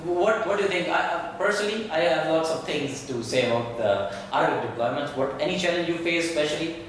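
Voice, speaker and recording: A man's voice: a little high, animated, quick pace. A man hosting a casual tech talk, asking questions eagerly and often repeating his words. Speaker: show host. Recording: echoey room, mic far off, faint hiss.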